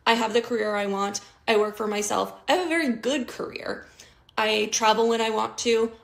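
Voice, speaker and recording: A woman in her thirties speaking earnestly and matter-of-factly in a confessional social video. Her voice is very gravelly with heavy fry, and it echoes around the room. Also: on the high side, steady tone, moderate pace.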